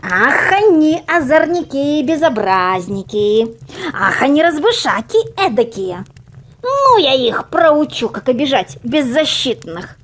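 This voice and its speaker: A woman's voice: high voice, sing-song, measured pace. A woman voicing a kindly old fairy-tale witch who scolds indignantly on behalf of the weak. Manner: scolding, exclaiming. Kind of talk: storybook character reading.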